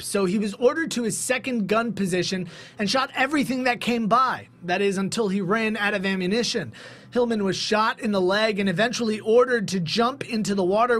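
A man's voice: high voice, fairly bright tone. A young man reading scripted news narration as a television anchor. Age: young adult. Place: TV news studio.

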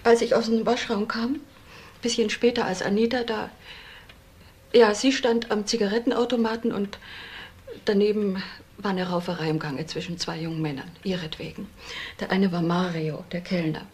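An actress playing a discreet, halting woman witness being questioned in a German crime radio drama. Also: mid-range voice, fairly even intonation, a little mellow, slight background noise, natural voice. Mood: hesitant, uneasy. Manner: halting, careful.

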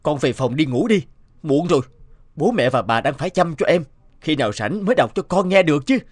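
A man's voice: a little high, sing-song, fairly quick, dry recording, clean studio sound. A man voicing a busy young father in a cartoon, exclamatory in manner. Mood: impatient. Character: busy young father, proud of son, impatient with daughter. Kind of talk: cartoon voice acting. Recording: music behind the voice.

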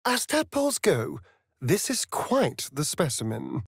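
A man's voice: on the high side, huge pitch swings, a little slow, gravelly, slightly rough, no room sound, clean studio sound, heavily acted. A man with a British accent voicing a vain, sardonic, seductive vampire rogue in a fantasy video game, with an arch, flamboyant, mocking manner. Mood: scornful.

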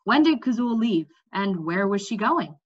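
A woman's voice: medium pitch, lively intonation, normal speed, slightly rough, muffled recording. A woman reading the part of an earnest, questioning young king in a fantasy storybook. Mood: concerned.

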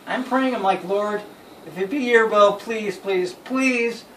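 A young man casually telling friends an anecdote in an animated way. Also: high-pitched, takes it slowly. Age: young adult. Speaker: storyteller. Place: small bedroom, indoors. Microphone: phone camera a few feet away. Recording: roomy, distant phone mic with noise.

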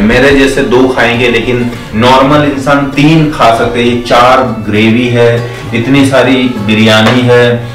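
Slow-talking young man in an echoey room. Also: medium pitch, fairly bright tone. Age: young adult. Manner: chatty, counts items aloud while unboxing. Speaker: vlogger. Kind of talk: casual food review vlog. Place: bare indoor room, seated on floor. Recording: echoey room with faint music.

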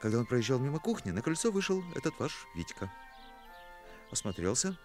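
Earnest young man with lively intonation played by an actor. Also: mid-range voice, brisk, faint music behind, lightly acted. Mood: disgusted. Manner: hesitant, polite, easily indignant. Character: awkward, fastidious, earnest young male musician. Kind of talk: radio play acting.

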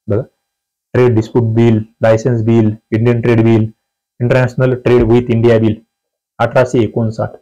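Man teaching, mellow slightly dark voice. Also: mid-range voice, low-quality recording. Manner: instructive, repeats key phrases, poses questions to learners. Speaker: exam-prep teacher. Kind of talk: quiz walkthrough lecture, reading questions and explaining answers.

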